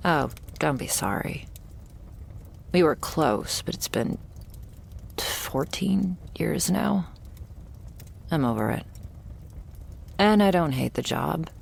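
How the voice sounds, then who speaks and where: A woman playing a jaded, wry, terminally ill shopkeeper who serves a vampire, speaking in an intimate, deadpan ASMR roleplay monologue. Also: heavily acted. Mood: wry, reflective. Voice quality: low, husky, gravelly. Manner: deadpan, sardonic, slow and pausing, swearing casually.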